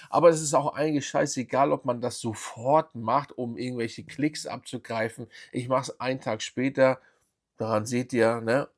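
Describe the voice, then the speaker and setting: A man's voice: medium pitch, vocal fry. A man hosting his own football fan channel, giving rambling casual commentary with many fillers and restarts.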